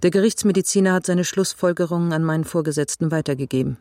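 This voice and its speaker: An actress playing a serious, guarded police investigator in a radio drama, speaking earnestly and reflectively. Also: female voice, lowish voice, fast, dark tone, dry recording, clean studio sound, lightly acted.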